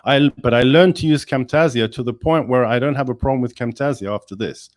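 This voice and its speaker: A male engineering professor speaking in a webinar discussion, energetic and emphatic, with many restarts. English is not his first language. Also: mid-range voice, a little fast, dry recording, clean studio sound.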